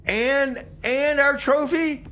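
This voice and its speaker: A man's voice: very high-pitched, takes it slowly, fairly bright tone. A man hosting a music radio show in a casual style, with comic banter.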